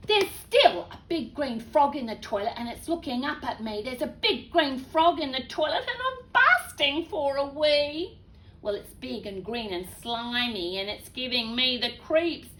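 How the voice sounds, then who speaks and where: A woman voicing a small, desperate child in a children's storybook, complaining in a drawn-out sing-song. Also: high-pitched, animated, sharp tone, slight background noise, full character voice. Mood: desperate, pleading. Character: small desperate whining child needing the toilet.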